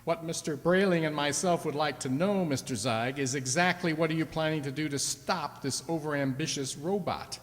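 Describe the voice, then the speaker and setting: Radio drama: male actor as forthright, accusing client, stern, slightly high register, reverberant hall recording. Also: normal speed, few pauses, close to an everyday voice. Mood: indignant. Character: stern, indignant male customer confronting a robot maker. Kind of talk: radio play acting. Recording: echoey hall, stage radio play.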